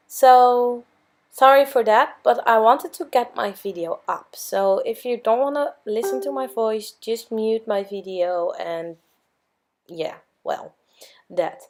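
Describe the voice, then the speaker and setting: A young woman with a hoarse, worn-out voice, casually narrating her craft process as a channel host, with frequent fillers and self-corrections. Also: fairly high pitch, lively intonation, slow.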